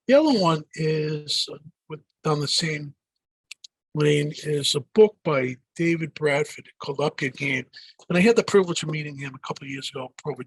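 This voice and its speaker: An older man with a strong Boston accent and a gravelly, husky voice, pitched a little above most men. He answers interview questions in a loose, rambling way, full of fillers and restarts. The sound is dry and close. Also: a bit bright, no echo, rough recording. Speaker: guest.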